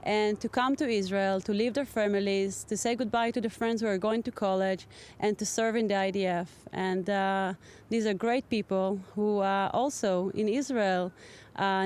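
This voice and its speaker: Woman, medium pitch, informal interview explanation. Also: a little mellow, clean tone. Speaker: support organization representative.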